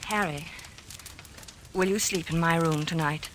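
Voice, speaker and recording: An actress playing a worried, pleading wife in an old-time radio mystery, with dramatic, earnest delivery. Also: female voice, deep voice, a little slow, a bit bright, slight background noise. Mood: anxious, questioning. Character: worried, pleading wife recalling her husband's strange death.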